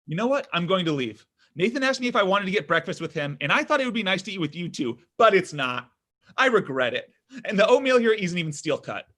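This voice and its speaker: A man's voice: sits high, sing-song, fast, pushed and bright, exaggerated voice. A man acting a needy, self-dramatizing man in his thirties at a comedy table read, exasperated and argumentative. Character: needy, whiny, self-dramatizing thirty-four-year-old son.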